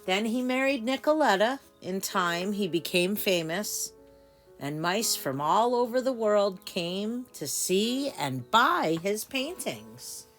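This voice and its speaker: A woman reading a children's storybook aloud with deliberate, dramatic phrasing for small children. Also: medium pitch, expressive, fairly bright tone, faint music behind. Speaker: story time reader. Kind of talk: expressive children's storybook narration.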